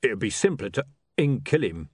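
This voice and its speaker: A man voicing a crude, sullen, slow-witted thug in an audiobook, blunt and grumbling. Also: mid-range voice, big pitch swings, husky, dry recording. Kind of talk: audiobook character voice.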